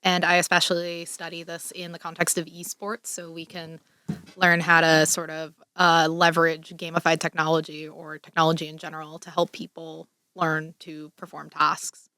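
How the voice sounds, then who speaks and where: A woman in her thirties, a postdoctoral researcher, introducing herself on a podcast in measured, academic phrasing. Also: sits low, monotone, bright, forceful tone, no echo. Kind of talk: casual podcast chat.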